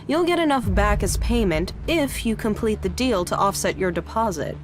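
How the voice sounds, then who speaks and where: A woman's voice: medium pitch, animated, normal speed, lightly acted. An actress playing a wary, tough, streetwise female arms dealer in a video game, with a sardonic, bargaining manner. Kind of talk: video game dialogue acting. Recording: music under the voice.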